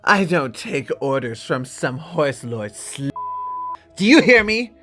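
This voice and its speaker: A man's voice: on the high side, very animated, slow, a bit bright, soft background music, pitched above the performer's own voice, full character voice. A man voicing an arrogant, raging young exiled prince in an imperious, outraged manner for a dramatic book reading. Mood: outraged, threatening.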